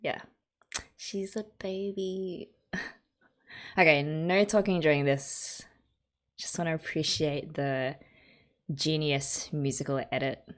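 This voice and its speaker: A woman's voice: low voice, steady tone, takes it slowly, pauses often. A young Australian woman hosting an anime reaction video, chatting casually and giggling often as she reacts. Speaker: anime reaction channel host.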